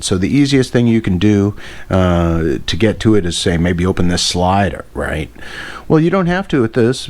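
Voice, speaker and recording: A man's voice: fairly low pitch, a little mellow. An American man giving a casual hands-on gadget demonstration in an informal, enthusiastic, rambling way. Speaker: radio hobbyist presenter.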